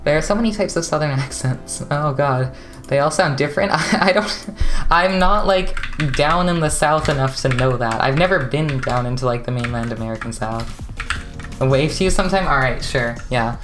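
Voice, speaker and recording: Streamer type: young West Coast Canadian woman, very deep voice, chatty and rambling, soft music under her. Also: moderate pace. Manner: chatty, rambling, laughs often, many fillers. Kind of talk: casual live-stream chat with viewers.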